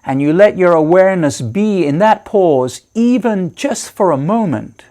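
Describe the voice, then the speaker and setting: A man leading a guided meditation as a teacher, giving measured, reassuring instructions with deliberate pauses. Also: high voice, expressive, warm tone.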